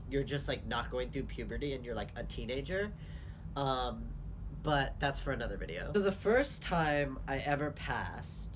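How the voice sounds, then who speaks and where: A young man hosting his vlog, talking candidly in a casual chat with quick asides. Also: mid-range voice, background noise. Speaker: vlogger host.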